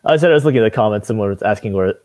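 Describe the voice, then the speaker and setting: A young man from Maine, a city council candidate, talking on a video call from a room at home, relaxed and hesitant, with many fillers. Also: on the low side, very fast, no echo. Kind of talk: casual video call interview. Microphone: video call webcam.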